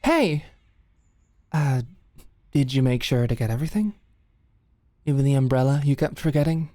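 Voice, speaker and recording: A woman's voice: rumbling low, animated, normal speed, mellow, no room sound. A woman playing a flustered, lovestruck office ex-employee, hesitant and rambling, in a scripted ASMR audio roleplay. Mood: flustered, eager.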